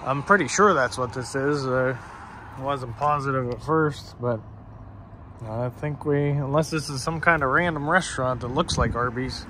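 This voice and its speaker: A man's voice: medium pitch, normal speed. A man giving a casual, off-the-cuff walkaround narration as a vlogger, talking to viewers in a folksy, conversational way while outdoors. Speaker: vlogger exploring abandoned places. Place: outdoors in a parking lot. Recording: outdoor handheld recording with clear traffic noise. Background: street and vehicle ambience.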